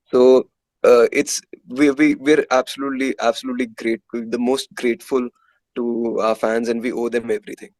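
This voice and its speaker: A man's voice: medium pitch, level tone, normal speed, darkish tone, no echo, rough recording. A man with an Indian accent chatting candidly as a musician being interviewed, emphatically repeating phrases for stress. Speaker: metal band guitarist and composer, interview guest.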